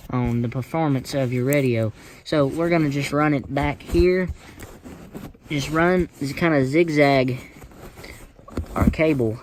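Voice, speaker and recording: A plainspoken, folksy man giving a casual hands-on tutorial, talking hesitantly in short phrases with pauses. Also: mid-range voice. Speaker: how-to video presenter. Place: inside a parked car. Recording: noisy amateur recording with clicks.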